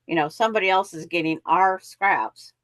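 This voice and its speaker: A woman's voice: fairly low pitch, moderate pace, no echo. A woman hosting a quilting show, chatting in a rambling way and explaining how the block pieces fit together. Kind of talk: casual live chat and craft instruction.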